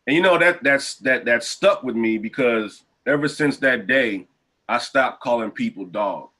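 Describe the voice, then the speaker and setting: A man hosting a casual podcast interview over a video call, talking in relaxed slang. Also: mid-range voice, measured pace. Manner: laid-back, relaxed slang. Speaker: podcast host.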